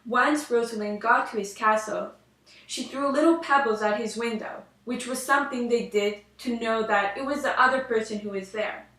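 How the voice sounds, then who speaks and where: A woman's voice: fairly high pitch, moderate pace, fairly bright tone. A young woman reading a scripted fairy tale aloud as storyteller. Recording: roomy echo, distant microphone.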